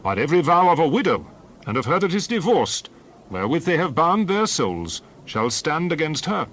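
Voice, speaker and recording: A man's voice: fairly high pitch, big pitch swings, normal speed, fairly bright tone. A man playing Moses as a stern, authoritative lawgiver, declaiming emphatically in a dramatized scripture reading. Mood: solemn instruction.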